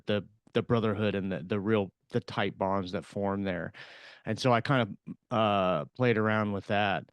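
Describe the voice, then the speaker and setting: Low, smooth-voiced man, halting. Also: dry recording. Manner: halting, many fillers and restarts. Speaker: podcast co-host, author. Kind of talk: casual chat.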